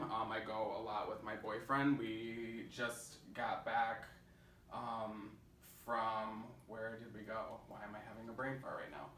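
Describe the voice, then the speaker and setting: A man's voice: medium pitch, sharp tone. A man giving an informal class presentation as a college student, in a casual, conversational manner. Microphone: distant microphone. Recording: distant, echoey room, faint noise.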